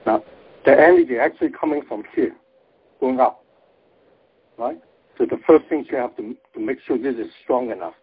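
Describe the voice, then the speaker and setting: A man's voice: medium pitch, huge pitch swings, normal speed, vocal fry, long pauses, slightly rough. A man explaining energy healing to a group in plain, simple phrasing, heard over a phone-line webinar.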